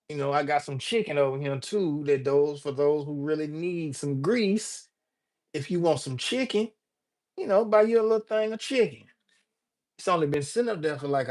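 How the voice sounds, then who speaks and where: High-voiced older man chatting on a livestream. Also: darkish tone. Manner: rambling, joking, addresses viewers by name. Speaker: livestream host. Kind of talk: casual livestream chat reading viewer comments.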